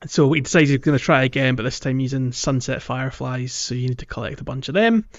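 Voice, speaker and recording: A man co-hosting a podcast, chatting casually and explaining a video game playthrough in a halting way. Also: mid-range voice. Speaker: podcast co-host. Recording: dull lo-fi audio, music underneath. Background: background music.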